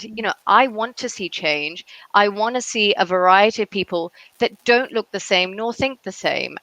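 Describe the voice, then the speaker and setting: A woman's voice: mid-range voice, sharp tone, no echo, low-quality recording. A middle-aged woman speaking as a panelist about her own career and beliefs, emphatic and often checking in with "right?". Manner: emphatic, punctuates points with "right?" and "you know". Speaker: conference panelist, travel industry executive.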